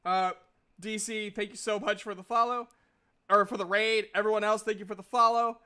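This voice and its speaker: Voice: medium pitch, steady tone, normal speed, sharp tone, clean tone. A game master hosting a livestream, chatting casually and recapping a tabletop game in an informal, sweary way. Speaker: game master and stream host.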